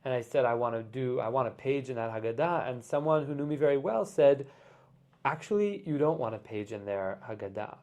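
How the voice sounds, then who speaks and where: A man, a guest on a podcast, talking in a casual, reflective way and often pausing with "like" as he explains his ideas. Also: medium pitch, animated, darkish tone. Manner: reflective, explains with many "like" fillers. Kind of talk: casual conversation.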